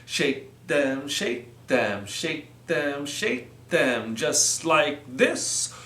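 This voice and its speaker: Animated, bright-voiced man hosting children's storytime. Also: fairly high pitch, drawn-out words. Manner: encouraging, playful, simple repeated instructions. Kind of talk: sing-along storytime for young children. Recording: roomy, echoing sound.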